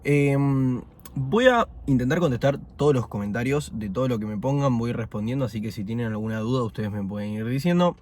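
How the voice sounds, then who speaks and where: A man in his twenties with a Buenos Aires Argentine accent, chatting casually in a vlog while eating fast food, relaxed and full of fillers. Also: mid-range voice. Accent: Argentine Spanish, Buenos Aires. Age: 20s. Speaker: vlogger.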